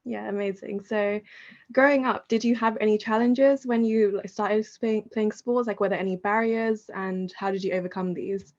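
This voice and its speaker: A woman's voice: mid-range voice, steady tone, moderate pace, darkish tone, lo-fi sound. A woman hosting a podcast, introducing her guest and asking loosely phrased questions in a friendly way. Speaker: podcast host. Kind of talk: interview hosting.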